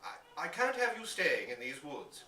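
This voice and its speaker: A man voicing a flustered, proper gentleman landowner from a storybook, indignant and demanding, trying to sound authoritative. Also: fairly high pitch, sing-song, normal speed, heavily acted. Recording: roomy, distant live recording with hiss.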